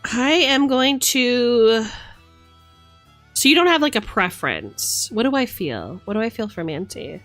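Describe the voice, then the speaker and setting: A woman's voice: fairly high pitch, big pitch swings, measured pace, a bit bright, faint music behind. A woman livestreamer chatting casually and affectionately, thinking aloud in a playful way as she reads tarot cards.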